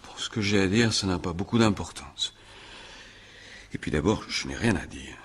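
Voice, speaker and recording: An actor playing a sullen, withdrawn young male farmer in a French radio drama, raspy, answering in halting, evasive fragments. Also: sits low, expressive, a bit bright, a little hiss or hum. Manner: hesitant, evasive, taciturn, mumbled halting answers. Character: sullen, withdrawn 23-year-old male farmer accused of murder.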